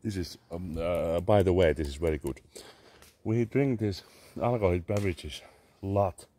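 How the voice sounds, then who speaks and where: A man in his thirties with a Finnish accent, vlogging in halting English full of fillers and swearing, outdoors in a garden on a handheld phone. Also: low voice, warm tone. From Finland. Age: 30s. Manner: halting, many "uh" fillers, swearing, sipping a drink. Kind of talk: casual rambling selfie vlog. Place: garden among trees, outdoors. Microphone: phone held at arm's length.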